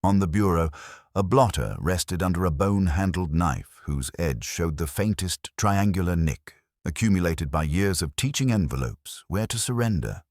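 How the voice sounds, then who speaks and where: A man narrating a scripted mystery story in a measured, formal, literary manner. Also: low voice, expressive, darkish tone, dry recording, studio quality.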